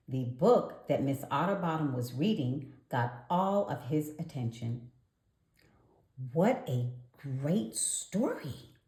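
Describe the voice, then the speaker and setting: A woman reading a children's story aloud in an animated narration, with deliberate dramatic pauses. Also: rumbling low. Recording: echoey room.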